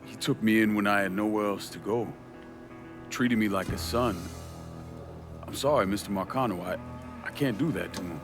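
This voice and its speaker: An actor playing a loyal, tough young war veteran in a video game, plain-spoken, respectful and earnest. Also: male voice, fairly low pitch, moderate pace, pauses often, close to an everyday voice. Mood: reluctant, earnest. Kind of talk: cinematic video game dialogue. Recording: music behind the voice.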